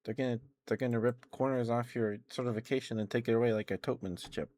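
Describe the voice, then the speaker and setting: A man hosting an ASMR livestream, speaking softly and intimately and slipping into whispers. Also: medium pitch, steady tone, quick pace, dry recording.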